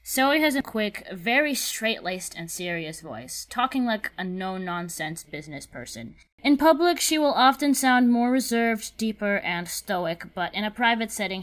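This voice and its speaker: Woman with a medium pitch reading out audition info from a script, really careful and emphatic with her words. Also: expressive, normal speed, few pauses, low-quality recording. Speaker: creator of an animated series giving a casting call. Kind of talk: scripted narration of audition instructions and character descriptions.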